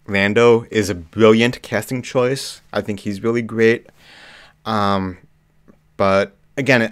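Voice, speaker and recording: A man hosting a live movie chat, slightly hoarse with a cough, talking casually with lots of ums. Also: fairly low pitch. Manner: relaxed, frequent ums and restarts. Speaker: livestream host. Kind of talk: casual live chat reading viewer comments.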